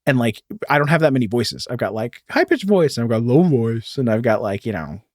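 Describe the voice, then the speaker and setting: A man's voice: mid-range voice, lively intonation, fairly quick, no room sound. Guy in his 30s cohosting a podcast, rambly, laughs a lot, dry sound. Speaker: podcast co-host. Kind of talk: casual chat.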